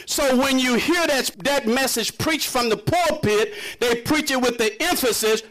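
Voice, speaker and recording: Male preacher, very high-pitched, emphatic and conversational sermon delivery with challenging rhetorical questions. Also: measured pace, a bit bright, low-quality recording. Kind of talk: impassioned sermon to a congregation.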